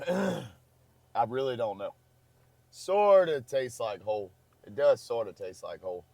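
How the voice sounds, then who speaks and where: A man's voice: medium pitch, expressive, takes it slowly, vocal fry, darkish tone, no room sound. A man who is losing his voice, chatting casually and rambling in a parked car. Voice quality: crackly voice. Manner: rambling, easygoing. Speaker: travel vlogger. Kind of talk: casual chat.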